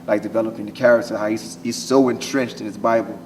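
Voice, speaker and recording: An American man, an actor answering interview questions casually and haltingly, repeating phrases as he thinks. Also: on the low side, fairly even intonation. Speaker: stage actor being interviewed. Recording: distant mic, noisy room ambience.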